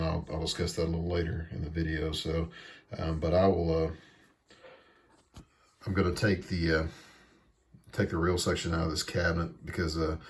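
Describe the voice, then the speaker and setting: Low-pitched monotone man explaining a repair. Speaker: repair video host. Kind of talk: casual hands-on repair tutorial.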